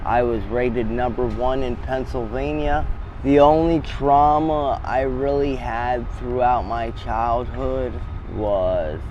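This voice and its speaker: A man in his thirties, born in Czechoslovakia and raised in Baltimore, telling his life story in a halting, plainspoken way, with hiccups. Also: mid-range voice, steady tone, darkish tone, highs cut off. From born in Czechoslovakia, raised in Baltimore, Maryland. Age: 30s. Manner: halting, plainspoken, pauses between phrases. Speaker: interviewee. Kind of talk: candid personal storytelling. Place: outdoors on a city street. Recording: outdoor street noise. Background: passing traffic.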